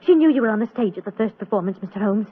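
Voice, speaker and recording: An actress in a 1940s radio drama playing a frightened, defiant young magician's assistant, curt and emotional. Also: female voice, mid-range voice, expressive, rapid-fire, husky, phone-quality sound. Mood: frightened, pleading. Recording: thin, band-limited old radio recording.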